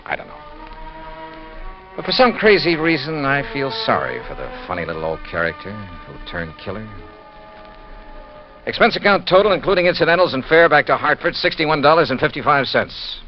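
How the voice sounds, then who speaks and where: An American actor playing a breezy, world-weary insurance investigator, with dramatic, clipped phrasing in 1950s radio detective style. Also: male voice, medium pitch, big pitch swings, fairly quick, close to an everyday voice. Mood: wistful, reflective. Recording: dull vintage radio recording, music behind.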